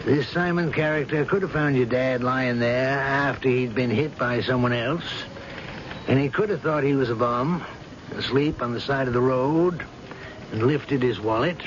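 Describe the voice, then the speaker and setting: An actor playing a patient senior police detective, deliberate and explanatory, in a 1970s radio drama style. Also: male voice, mid-range voice, natural voice. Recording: dull, noisy old radio broadcast.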